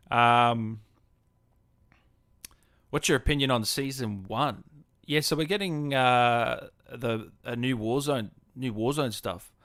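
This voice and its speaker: A man's voice: mid-range voice, slow pace, long pauses, a bit bright, no room sound. Australian man chatting casually, dry close sound. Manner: informal, chummy, addresses viewers as guys and man. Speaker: gaming streamer and host. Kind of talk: casual livestream chat, answering viewers' comments.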